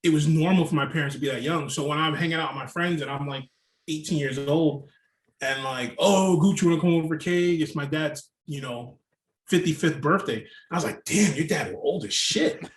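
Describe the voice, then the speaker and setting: A man tells long, rambling stories on a podcast and fills them with "like". His voice sits somewhat high for a man. The room gives it a noticeable echo. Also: brisk. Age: 30s. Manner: storytelling, rambling, with frequent "like" fillers. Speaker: guest. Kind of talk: casual podcast conversation. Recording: roomy echo.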